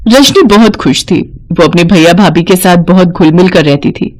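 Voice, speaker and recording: A woman's voice: fairly low pitch, normal speed. A woman narrating a scripted moral story as a storyteller.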